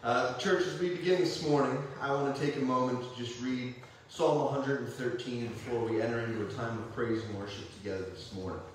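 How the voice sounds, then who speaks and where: A man's voice: mid-range voice, fairly even intonation, a little fast, hardly any pauses, a little hiss or hum. A man opening a church service, greeting the congregation in a friendly, direct way before a reading. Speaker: worship leader. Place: small church sanctuary. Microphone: far camera. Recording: echoey sanctuary from far off.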